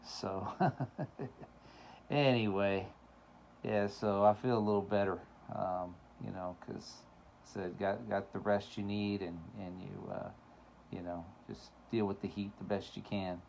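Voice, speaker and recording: A man's voice: fairly low pitch, steady tone, slow pace, creaky, darkish tone, a little hiss or hum. A middle-aged man hosting his own show, talking in a rambling, casual monologue full of fillers and laughs, his voice cracking at times. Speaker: show host.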